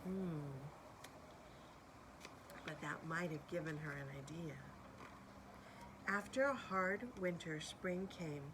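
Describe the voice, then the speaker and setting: A woman's voice: sits low, takes it slowly, pauses often, noisy background. A woman reading a picture book aloud expressively, with asides and questions to young listeners. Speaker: storybook reader.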